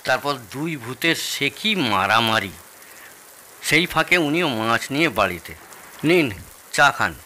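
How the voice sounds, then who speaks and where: A man's voice: medium pitch, animated, measured pace, long pauses, bright, forceful tone, a little hiss or hum. A man playing a genial, nostalgic older father-in-law who loves telling stories in an audio drama. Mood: animated storytelling. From Bangladesh. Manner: expansive, nostalgic storytelling. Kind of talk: Bengali audio drama acting.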